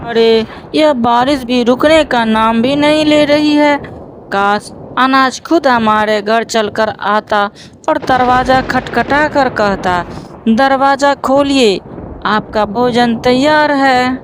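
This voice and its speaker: A man voicing a hungry, greedy crow husband in a cartoon, whining and scheming in a drawn-out, pleading way. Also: extremely high pitch, fairly bright tone, a little hiss or hum, heavily acted. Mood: whining hunger.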